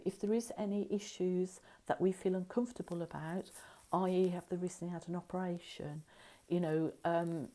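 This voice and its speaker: A woman's voice: fairly low pitch, dark tone. A middle-aged woman giving a recorded Reiki lesson in a chatty, rambling way, full of asides and fillers. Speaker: Reiki teacher.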